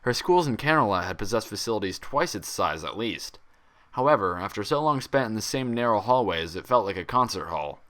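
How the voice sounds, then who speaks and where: A man's voice: lowish voice, animated, brisk, a bit bright. A man narrating fan fiction as a scripted, read-aloud story.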